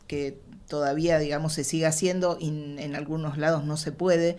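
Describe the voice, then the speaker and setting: Woman, Argentine accent, low voice, conversational explaining, detailed and reminiscing. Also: fairly even intonation, moderate pace, darkish tone. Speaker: radio drama writer, guest.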